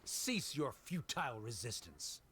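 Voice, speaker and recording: Stern pompous scholar, condescending, video game dialogue, lively intonation, faint background noise. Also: male voice, mid-range voice, a little slow. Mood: scornful. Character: haughty, stern male scholar-official arguing to shut a theater.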